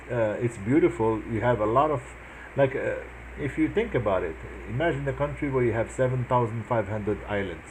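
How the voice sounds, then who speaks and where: A man's voice: mid-range voice, a little mellow, slight background noise, rough recording. A man in his forties from Morocco, earnestly telling in a casual chat how he met his wife, with many restarts and fillers. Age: 40s. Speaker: vlogger answering his wife's questions.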